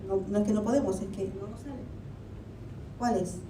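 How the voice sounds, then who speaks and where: Woman preaching in an echoey hall. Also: moderate pace. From Argentina. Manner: conversational preaching with rhetorical questions. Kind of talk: sermon. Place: church hall. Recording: echoey hall, mic at a distance, faint rumble.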